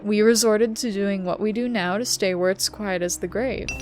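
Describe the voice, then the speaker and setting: An actress playing a world-weary, guarded but caring woman in an audio drama, speaking in a measured, grave way. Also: mid-range voice, music in the background, natural voice. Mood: weary, reflective.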